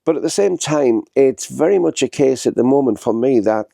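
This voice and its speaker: A man's voice: mid-range voice, expressive, moderate pace, creaky, warm tone, no echo, studio quality. A man, congested with a head cold, chatting to camera in a rambling, casual vlog with lots of fillers and restarts. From northern England. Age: 60s. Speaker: vlogger. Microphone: small handheld camera.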